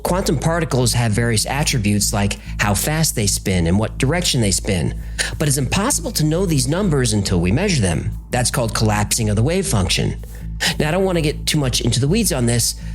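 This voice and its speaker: A man narrating a scripted documentary in a conversational, explanatory way, posing rhetorical questions as host. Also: medium pitch.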